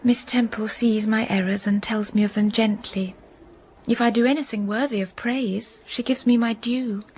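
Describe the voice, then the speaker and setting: An actress voicing a patient, pious young schoolgirl in a radio drama, calm and gentle. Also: fairly high pitch, moderate pace, slight background noise, lightly acted, low-quality recording. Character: patient pious young girl pupil Helen.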